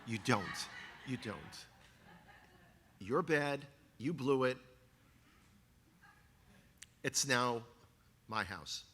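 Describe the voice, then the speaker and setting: A man with a Bronx New York accent giving a church talk, animated and cracking jokes to the congregation. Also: mid-range voice, very slow, long pauses, slight background noise. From New York, USA. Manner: animated, joking asides to the congregation. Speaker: guest speaker, former tour guide. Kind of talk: sermon-style talk. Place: church hall. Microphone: handheld microphone.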